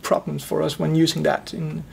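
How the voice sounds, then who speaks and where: A man in his forties giving measured technical explanations as an expert in an interview. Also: a little high, completely flat delivery, vocal fry, darkish tone, slightly rough. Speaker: expert guest, loudspeaker driver engineer.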